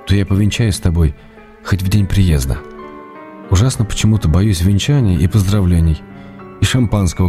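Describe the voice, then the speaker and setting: Casting: a man playing an ailing writer in midlife, wry and intimate, lowish voice, reading love letters for radio over music. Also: lightly acted. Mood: wry, affectionate. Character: ailing middle-aged writer, tender, teasing, writing love letters.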